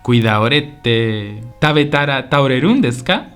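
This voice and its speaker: A man acts out a polite, eager tourist from Spain in a radio drama for language learners. His pitch is mid-range and his sentences are simple and clearly spoken. Faint music plays behind him. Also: animated, normal speed, a bit bright. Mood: interested, pleased. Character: polite, eager Spanish tourist visiting Osaka.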